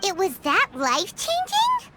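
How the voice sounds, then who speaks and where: A woman voicing a tiny, childlike fairy companion in a game, squeaky, blunt and cheeky. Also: wildly swooping pitch, unhurried, heavy background noise, heavily acted. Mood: skeptical. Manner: refers to self in third person, blunt. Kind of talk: animated game dialogue.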